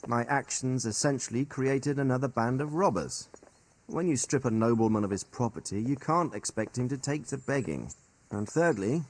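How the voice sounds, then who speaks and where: A male actor as a seasoned royal commander in a game drama. His speech is measured and articulate, with a very lively, varied melody that carries stern authority. He chooses formal words and sets them out deliberately. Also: medium pitch, lightly acted. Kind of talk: dramatic video game cutscene dialogue.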